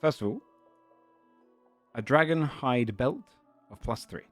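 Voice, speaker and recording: A man's voice: mid-range voice, slow, no room sound, faint music behind. A man running a tabletop game as game master, describing items and scenes while searching for words.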